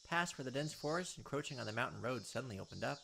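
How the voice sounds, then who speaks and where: A man's voice: mid-range voice, lively intonation, quick pace, creaky, noisy background. A man hosting a let's play, reading a visual novel's narration aloud with casual asides. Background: game music behind the voice.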